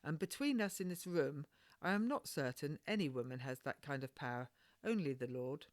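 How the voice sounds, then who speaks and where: A woman's voice: low voice, expressive, moderate pace, dry recording. A proud, pious midwife, as a woman reader plays her, speaks firmly and admonishes in old-fashioned phrases. It is a storybook voice, recorded dry and close. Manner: firm, admonishing, archaic phrasing.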